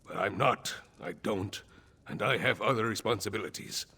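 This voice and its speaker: An actor playing a proud, weary, aging northern jarl in a video game, blunt and sardonic, with a gritty voice. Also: male voice, fairly low pitch, normal speed, sharp tone, husky, big-room sound, full character voice. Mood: weary, resolute. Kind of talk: video game character acting.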